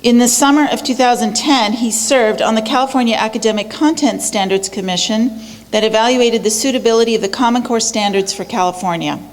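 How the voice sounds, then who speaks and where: A woman moderates a panel and reads out a guest's introduction briskly and with an upbeat tone. Her voice sits a little higher than average. The long reverb of a lecture hall hangs around every word. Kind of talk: reading a speaker introduction.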